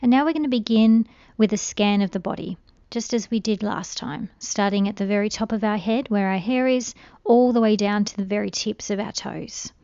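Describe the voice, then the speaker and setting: A woman guiding a meditation in a gentle, soothing, unhurried way. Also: medium pitch, gravelly, no echo, highs cut off. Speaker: meditation guide. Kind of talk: guided meditation.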